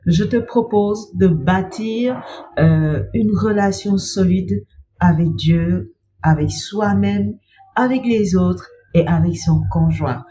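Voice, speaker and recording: Slow-speaking woman with a cold. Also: mid-range voice, slight background noise, low-quality recording. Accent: French. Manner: slow, gentle, repeats "bien-aimé" and pauses with "euh". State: has a cold. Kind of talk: devotional talk to camera.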